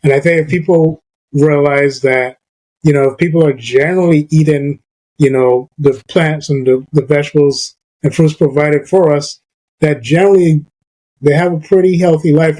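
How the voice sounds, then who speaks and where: A man's voice: a little high, steady tone, normal speed, dark tone, poor audio. Chatty man from Barbados hosting a podcast. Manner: conversational, many fillers like "you know" and "like". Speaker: podcast host. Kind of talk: casual podcast conversation.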